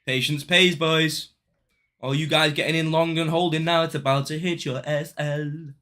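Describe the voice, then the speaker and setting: A man's voice: fairly high pitch, steady tone, moderate pace, bright, forceful tone. A young man chatting casually on a live trading stream, slangy and swearing as he banters with viewers. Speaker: day trading livestreamer.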